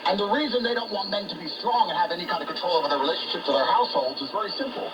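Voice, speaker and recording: A man's voice: high voice, rapid-fire, lo-fi sound. A man talking politics as a guest on a talk show, in an emphatic, ranting manner, heard over shortwave radio. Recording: noisy shortwave radio broadcast, distant.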